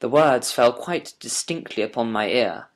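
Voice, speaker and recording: A man narrating a first-person audiobook story with measured, literary, deliberate phrasing. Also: mid-range voice, animated. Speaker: audiobook narrator reading as Doctor Watson.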